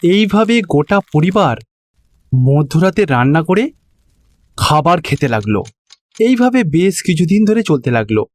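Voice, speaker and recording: A man with a Bengali accent narrating a scripted cartoon story in an expressive storytelling manner. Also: a little high, unhurried, pauses often, no echo.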